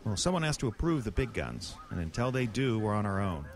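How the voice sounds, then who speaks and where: A man's voice: fairly low pitch, very animated, brisk. A man acting a blunt, decisive small-town lawman leading a monster hunt in a horror audio drama, speaking in a dry, clipped way. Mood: grim, decisive.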